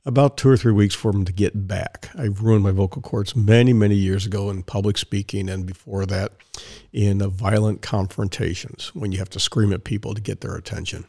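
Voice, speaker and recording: A man with a fairly low, hoarse, raspy voice holds forth on his own podcast. He talks in a rambling, digressive monologue, circling back and repeating himself as he goes. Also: steady tone, brisk, warm tone. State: hoarse from strained vocal cords. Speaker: podcast host.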